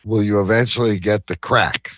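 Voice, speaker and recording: A man's voice: on the low side, fairly even intonation, moderate pace, fairly bright tone, dry recording, clean studio sound. A man hosting a live show with casual, jokey banter and a rambling manner. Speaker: host of a live online show.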